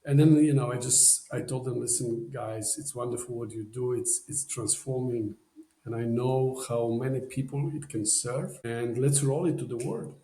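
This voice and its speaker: A man's voice: mid-range voice, moderate pace, dark tone, roomy sound, low-quality recording. A man from Poland with a Polish accent, a podcast guest telling a story in casual, rambling talk full of "you know" fillers. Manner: rambling, many "you know" fillers, non-native phrasing.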